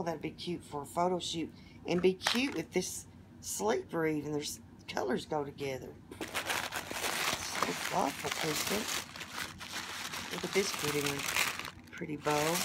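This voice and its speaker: A hoarse, middle-aged woman talks slowly and gently, her voice sitting a little low as she coos over each gift. She records from a phone on a table in her living room, with a faint hiss of the room behind her. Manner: gushing, softly delighted exclamations. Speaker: vlogger opening gifts. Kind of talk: casual unboxing chat.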